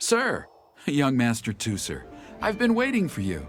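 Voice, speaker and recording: A man voicing a polite, dutiful male servant in a video game, who speaks in a formal and measured way. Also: mid-range voice, wildly swooping pitch, fairly bright tone, soft background music.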